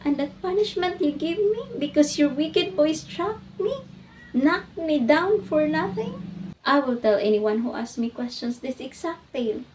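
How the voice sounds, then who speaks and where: A woman performing a dramatic literary monologue as a wounded, defiant orphan girl, with emphatic, declamatory, drawn-out phrasing. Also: high-pitched, expressive, a little mellow, pitched above the performer's own voice. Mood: anguished, indignant. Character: wounded, defiant orphan girl confronting her cruel aunt. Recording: distant microphone, audible background noise.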